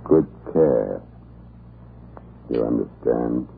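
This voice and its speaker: An actor playing a scheming, domineering Russian prison commandant in a 1930s radio horror drama, mock-courteous and insinuating, repeating words deliberately. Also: male voice, a bass voice, vocal fry, full character voice. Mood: conspiratorial. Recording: noisy, narrow-band 1930s radio recording.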